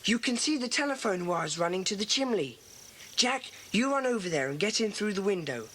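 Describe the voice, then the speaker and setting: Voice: mid-range voice, big pitch swings, fairly quick, fairly bright tone. A performer playing a confident, decisive schoolboy who leads a club of child detectives. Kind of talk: children's audiobook dramatisation. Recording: faintly noisy old cassette recording.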